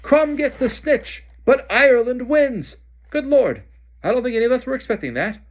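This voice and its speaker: A man voicing an excitable adult match commentator calling plays loudly. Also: very high-pitched, voice pushed up, heavily acted. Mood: excited announcing. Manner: loud, drawn-out announcing.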